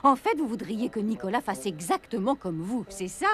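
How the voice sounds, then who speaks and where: A woman playing a bossy, no-nonsense helper of Santa in a French cartoon, brisk and scolding. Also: mid-range voice, animated, lightly acted. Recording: music behind the voice.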